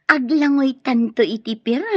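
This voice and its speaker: A greedy sly schemer, ilocano filipino radio drama, highish raspy voice, muffled. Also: female voice, measured pace, warm tone, no echo. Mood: sly, gleeful. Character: conniving, greedy female accomplice to a schemer. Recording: dull, dry lo-fi radio.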